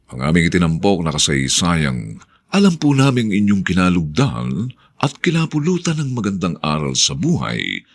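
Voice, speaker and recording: Mabagal na lalaking tagapagsalaysay, malawak ang tono. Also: male voice, low-pitched, fairly bright tone, slightly rough. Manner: formal, dramatic, drawn-out. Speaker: radio drama announcer and narrator. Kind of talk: theatrical scripted announcing.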